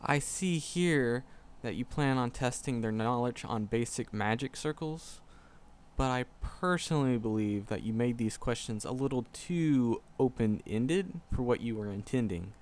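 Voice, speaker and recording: A man's voice: medium pitch, animated, unhurried, a little mellow, natural voice. A man playing a shy, nervous magic professor in a roleplay audio drama, skittish and earnest, stammering and repeating words as he talks to the listener. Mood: earnest, explaining.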